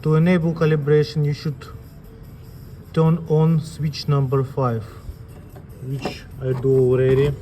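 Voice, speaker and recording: A man giving an unscripted step-by-step equipment tutorial as an instructor, speaking plainly and haltingly in short phrases. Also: on the high side, flat delivery, music in the background. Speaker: instructor demonstrating equipment calibration.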